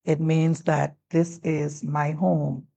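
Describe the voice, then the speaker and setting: Gravelly, husky woman in her sixties. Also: low voice, slow pace. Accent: Turks and Caicos. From Turks and Caicos Islands. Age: 60s. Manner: animated storyteller who acts out quoted dialogue. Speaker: guest, former teacher and district commissioner. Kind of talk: relaxed storytelling in a talk-show conversation.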